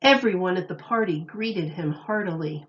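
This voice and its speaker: A woman teacher reading a children's story aloud, expressively, with deliberate, emphatic phrasing. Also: on the low side, dull audio.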